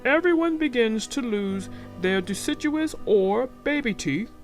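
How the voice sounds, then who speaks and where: A woman's voice: a little high, expressive, measured pace, soft background music. A woman voicing a solemn, instructive film announcer within a storybook. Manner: formal, instructive.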